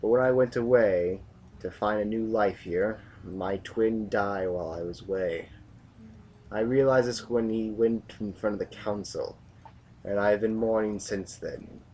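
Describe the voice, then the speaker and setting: A man's voice: on the low side, unhurried, darkish tone, a little hiss or hum, close to an everyday voice, poor audio. A man acting a hardened, brooding military commander with a grave, formal delivery in a science fiction audio drama. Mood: solemn, reminiscing.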